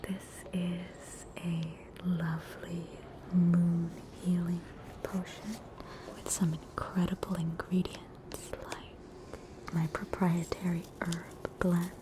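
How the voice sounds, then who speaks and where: A woman's voice: fairly low pitch, completely flat delivery, low-quality recording. An Australian woman voicing a soothing, faintly haughty witch doctor in a whispered ASMR roleplay. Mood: soothing, reassuring. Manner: slow, soothing, reassuring bedside manner.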